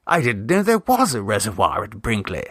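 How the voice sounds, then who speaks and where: A man's voice: on the low side, huge pitch swings, moderate pace, a bit bright, dry recording, studio quality, exaggerated voice. A man playing an earnest, cheerless young politician. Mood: tactful doubt. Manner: tactful, earnest.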